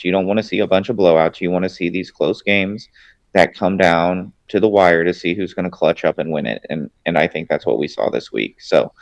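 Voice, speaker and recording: Man, very low voice, dry close sound, laid-back podcast co-host, wry. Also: vocal fry, no room sound, highs cut off. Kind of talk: casual sports podcast chat.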